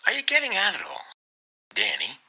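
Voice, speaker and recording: A man's voice: high-pitched, huge pitch swings, moderate pace, sharp tone, breathy edge, voice pushed up, heavily acted. A man playing a concerned, encouraging doctor in a radio drama, coaxing and solicitous with his patient.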